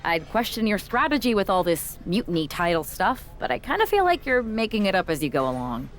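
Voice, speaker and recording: Woman acting as candid female wrestler, wry, sceptical, mid-pitched, animated, video game cutscene. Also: a little fast, faint music behind, natural voice. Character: sceptical female wrestler, protective, teasing old flame.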